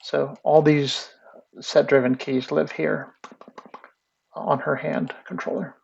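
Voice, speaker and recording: A man teaching animation in a casual screen-share tutorial, thinking aloud in a relaxed way. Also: on the high side, slow, gravelly, slight background noise. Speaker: animation instructor. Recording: muffled lo-fi audio with faint music.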